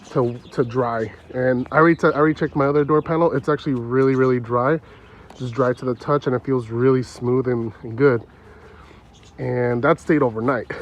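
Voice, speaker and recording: Stuffy-nosed man, casual car vlogger talking to his phone outside, easygoing, faint outdoor noise. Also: mid-range voice. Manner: relaxed, chatty, laughs often. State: congested, stuffy nose. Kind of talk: casual how-to vlog narration. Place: outdoors in a yard. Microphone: phone held while working.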